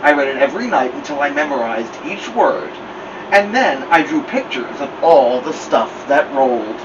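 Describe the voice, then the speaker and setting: A male storytime host reads a picture book aloud in an expressive, deliberate way, leaning on key words. He sits slightly away from the microphone. The sound is dull, with plain background noise. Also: medium pitch. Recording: muffled, clear background noise, slightly distant.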